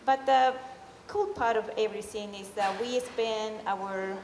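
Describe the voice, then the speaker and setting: A middle-aged woman from Peru with a Peruvian Spanish accent tells a personal story live, in an informal, halting way. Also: mid-range voice, a little mellow. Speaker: amateur storyteller. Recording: echoey hall, faint background noise.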